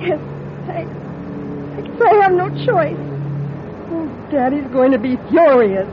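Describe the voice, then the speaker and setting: An actress playing a naive, anxious young bride-to-be in a radio drama, with an earnest, flustered manner. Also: female voice, lively intonation, a little slow. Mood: resigned. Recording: dull, noisy old radio recording, vehicle rumble.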